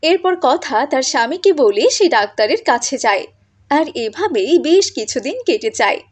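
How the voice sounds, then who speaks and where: Woman, very high voice, scripted storytelling, cartoon moral tale narrator. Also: big pitch swings, moderate pace.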